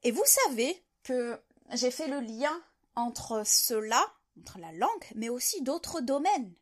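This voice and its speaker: A woman talking to camera in an unscripted monologue, reflecting on her ideas and rephrasing them as she goes. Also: sits high. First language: French. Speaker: video host sharing language-learning reflections.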